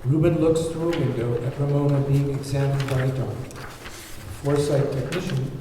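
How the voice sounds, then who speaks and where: A man's voice: mid-range voice, steady tone, normal speed. A man narrating, reading out the stage directions of a script at a table read. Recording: very echoey room, faint background noise.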